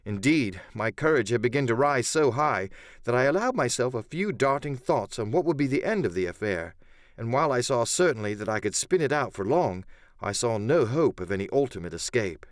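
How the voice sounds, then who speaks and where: A man's voice: medium pitch, expressive, fairly quick, no room sound, studio quality. A man narrating an adventure audiobook in the first person, with theatrical, literary storytelling.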